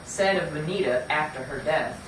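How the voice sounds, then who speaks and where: A woman's voice: deep voice, moderate pace. A woman narrating and hosting a live puppet show, telling the story theatrically in a folksy, playful way straight to the audience. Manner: folksy, playful, addressing listeners directly. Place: live show in a room, away from the mic. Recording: echoey room from far off, noisy.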